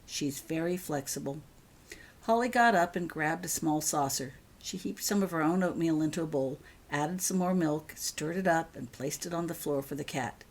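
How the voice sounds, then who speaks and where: Female narrator, low and husky, for author-read fiction audiobook. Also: normal speed, few pauses. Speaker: author reading own fan fiction.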